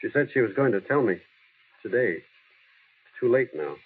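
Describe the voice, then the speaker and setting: An actor playing an earnest, shy, plain-spoken small-town man, halting and sincere, in a vintage radio drama. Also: medium pitch, lively intonation, breathy edge. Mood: hurt, bitter. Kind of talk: 1930s-40s radio drama acting. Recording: muffled, lo-fi old radio recording.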